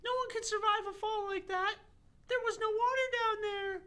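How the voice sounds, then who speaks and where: A woman's voice: very high voice, fairly even intonation, normal speed, pushed and bright, slight background noise. A woman voicing a caring, brave young heroine in a story, exclamatory and melodramatic. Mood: distressed.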